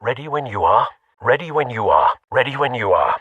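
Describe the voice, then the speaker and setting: A man voicing a dry-witted, composed older butler in a video game, formal and measured with a husky voice. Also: mid-range voice, big pitch swings, no room sound, narrow, tinny audio. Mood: dry, watchful.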